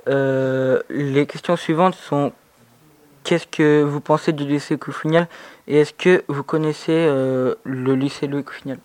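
Flat-voiced young man reading an intro. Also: fairly high pitch, unhurried, clear, resonant tone. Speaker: student presenter.

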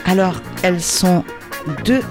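A woman hosting a community radio show in the studio, relaxed, slangy and joking. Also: lowish voice, slow, over music. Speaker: radio host. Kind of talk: casual radio chat. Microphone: studio mic with headphones.

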